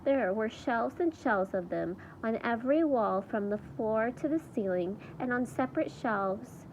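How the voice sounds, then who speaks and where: A woman reading a children's book aloud in an expressive storytelling style. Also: a little high, unhurried, slight background noise, poor audio. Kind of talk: read-aloud storybook narration with character voices.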